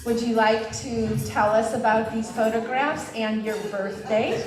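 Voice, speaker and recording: A woman's voice: a little high, steady tone, measured pace, reverberant, recorded from a distance, a crowd in the background, rough recording. Upbeat woman hosting a club meeting. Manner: upbeat, encouraging interviewer. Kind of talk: casual meeting chat.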